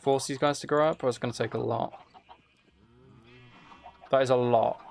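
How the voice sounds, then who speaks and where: A man with a gravelly, creaky edge to a mid-pitched voice talks himself through a video game. He muses casually and hesitantly as he plans aloud. Faint noise with keyboard typing and clicks sits behind him. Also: steady tone, moderate pace, darkish tone. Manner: musing, self-talking, hesitant planning. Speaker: gaming streamer. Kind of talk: casual gameplay commentary, thinking aloud. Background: faint noise, computer keyboard and mouse clicks.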